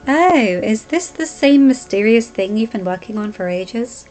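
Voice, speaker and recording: Woman reader doing a rebellious highborn girl: lifted pitch, sharp, defiant, argumentative. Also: lively intonation, faint music behind. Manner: sharp, defiant, quick to argue. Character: feisty young highborn woman, stubborn and rebellious.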